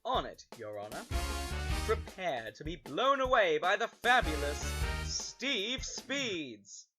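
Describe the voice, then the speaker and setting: A man playing a vain, excitable self-styled hero in an amateur audio drama, boasting in a pompous, theatrical way. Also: high-pitched, takes it slowly, full character voice. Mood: boastful. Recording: loud music under the voice.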